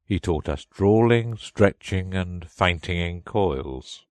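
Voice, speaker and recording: A man voicing a melancholy, easily offended turtle creature from a storybook, in a deep, hollow tone full of sighs. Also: expressive, no echo. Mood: wistful. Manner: slow, mournful, sighing. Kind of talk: storybook character reading.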